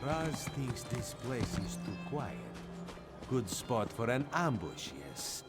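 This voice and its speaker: A man's voice: mid-range voice, sing-song, slow, loud music behind the voice. A man voicing a cheerful male cat-folk rogue who talks about himself in the third person, as AI-voiced game dialogue. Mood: playful.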